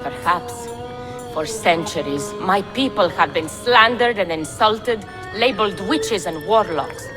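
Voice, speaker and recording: An actress playing a proud, shrewd woman clan leader in a video game, deliberate and slyly teasing. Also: medium pitch, lively intonation, over music, natural voice, low-quality recording. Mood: defiant, scheming. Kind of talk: cinematic video game acting.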